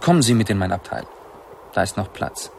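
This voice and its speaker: A man's voice: on the low side, lively intonation, brisk, gravelly, slight background noise. An actor playing a suave, commanding aristocrat in his thirties in a radio play, with a polite upper-class manner. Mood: breezy, commanding. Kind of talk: 1970s German radio play acting.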